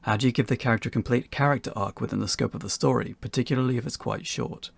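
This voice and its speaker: A man's voice: medium pitch, fast talker, creaky, slightly rough, no room sound. A man reading a scripted instructional talk for beginners as its presenter.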